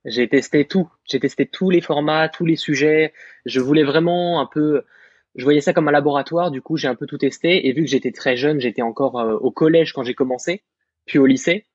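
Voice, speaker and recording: A young man from France in his twenties tells his story as a podcast guest, animated and self-assured. His voice is a touch high and husky. The recording is slightly muffled and dry. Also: fairly quick, no echo. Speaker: guest content creator. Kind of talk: casual podcast discussion.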